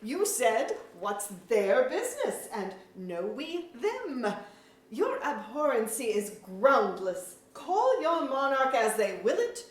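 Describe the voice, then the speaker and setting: A woman's voice: on the high side, big pitch swings, takes it slowly. A woman playing a proud, witty, scolding Lord Chamberlain in a staged reading of mock-Shakespearean drama, with emphatic, formal archaic speech. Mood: stern, lecturing. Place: museum auditorium. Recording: echoey auditorium, distant mic.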